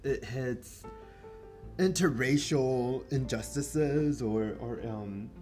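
Podcast host, male, Mexican-born, Spanish first language; mid-pitched, rambly film chat over faint music. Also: extremely slow, dark tone. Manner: rambling, many fillers and restarts, swears casually. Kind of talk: casual film review chat.

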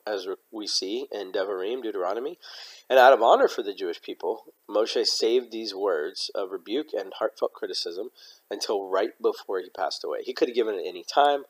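A man with a slightly hoarse voice hosting an informal Bible study, explaining in a halting, explanatory way. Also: fairly low pitch, rough recording. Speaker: host of a Bible study podcast. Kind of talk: informal religious teaching with scripture readings.

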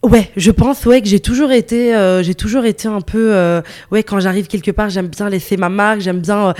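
A woman's voice: mid-range voice, fairly even intonation, moderate pace, darkish tone. A woman in her late twenties from northern Italy, a guest chatting casually and bubbly in an interview, laughing easily and full of fillers. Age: 20s.

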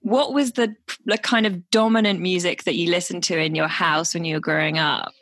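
Low-voiced woman podcast host. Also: steady tone, fairly quick, fairly bright tone, dry recording, studio quality. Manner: easygoing, asks open questions and lets the guest talk. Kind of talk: interview podcast hosting. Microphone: remote video call. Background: music bed.

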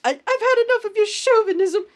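A woman's voice: very high voice, brisk, voice pushed up. A woman playing an outspoken, indignant farmer's wife in a comic radio play, exaggeratedly ladylike.

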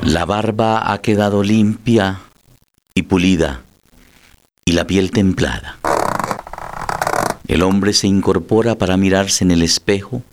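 A man's voice: deep voice, slow, long pauses, background noise. A man reading scripted dramatic narration as the narrator of a story. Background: music.

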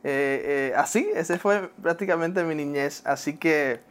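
A young man with a Puerto Rican Spanish accent, talking as an interview guest. He speaks hesitantly and warmly, keeps asking "¿verdad?", laughs easily and drops English words into his Spanish. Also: on the high side, measured pace, clean tone. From born in New Jersey, raised in Puerto Rico. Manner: hesitant, many "¿verdad?" tags, laughs often, mixes in English. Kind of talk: casual interview.

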